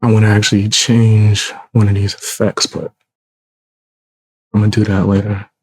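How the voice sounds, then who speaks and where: A man with a low voice, commenting casually and with slang on a live stream, laid-back with chuckling asides. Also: monotone, moderate pace, breathy edge, no echo.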